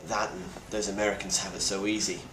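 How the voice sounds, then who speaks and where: Male actor as weary apocalypse survivor, lowish voice, blunt and grave video testimony, noisy roomy sound. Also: little pitch movement, fast. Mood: grim, wary. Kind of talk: in-character monologue, found-footage video diary. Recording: roomy echo with clear background noise.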